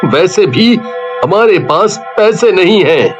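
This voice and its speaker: Man acting a short-tempered bullying father, high-pitched male voice, slow dramatic delivery, cartoon dialogue. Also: expressive, highs cut off, faint music behind. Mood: irritated, scolding. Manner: harsh, scolding, dramatic.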